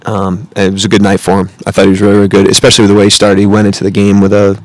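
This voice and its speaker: A man in his thirties, a head coach answering questions at a post-game press conference, measured and analytical with frequent fillers, speaking into a close table mic. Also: lowish voice, completely flat delivery, darkish tone, no room sound, rough recording. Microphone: table mic close in front.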